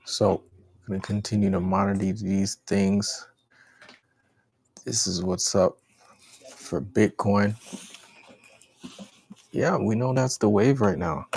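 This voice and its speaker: A man's voice: lowish voice, takes it slowly, gravelly, pauses often, darkish tone, husky. A man hosting a livestream, giving casual, slangy, repetitive commentary and talking to his chat. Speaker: livestream host.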